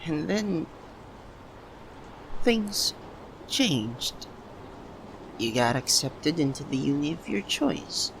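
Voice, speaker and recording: A man playing a lovesick young man confessing to a childhood best friend in a halting ASMR roleplay monologue. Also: a little high, big pitch swings, gravelly, background noise, exaggerated voice. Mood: sad, regretful. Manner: halting, breaks phrases with long pauses.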